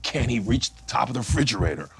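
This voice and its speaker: A man's voice: mid-range voice, sing-song, quick pace, gravelly, fairly bright tone, breathy edge, no echo, exaggerated voice. A man comedian voicing a melodramatic movie trailer announcer in a portentous, dramatic manner. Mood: grandly ominous.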